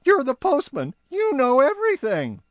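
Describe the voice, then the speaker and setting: A man voicing an excitable young male elf with a high giggly voice who stammers and repeats words. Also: normal speed, no room sound, exaggerated voice. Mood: giggly, teasing.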